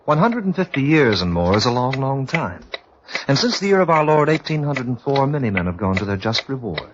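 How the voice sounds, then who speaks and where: A solemn, mysterious storyteller, voiced by a man, narrating with expressive rises and falls. The old radio recording is dull and clearly noisy. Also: medium pitch, a little fast, close to an everyday voice.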